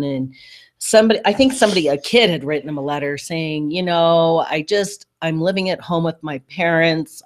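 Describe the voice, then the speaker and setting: A middle-aged man talks as a podcast co-host in an easy, conversational style. His delivery is blunt and unpolished, with casual swearing dropped in. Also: fairly high pitch. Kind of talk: casual chat.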